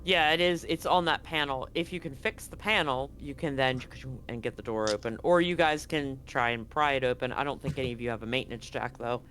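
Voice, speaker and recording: A man's voice: mid-range voice, fairly quick, few pauses, fairly bright tone, a little hiss or hum. A man running a tabletop roleplaying game as game master, describing scenes in a rambling, self-correcting way.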